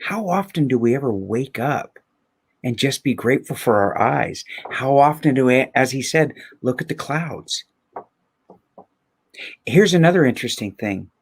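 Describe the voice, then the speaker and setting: A man's voice: mid-range voice, expressive, measured pace, breathy edge. Earnest, emphatic man in reflective conversation. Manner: earnest, emphatic, rhetorical questions.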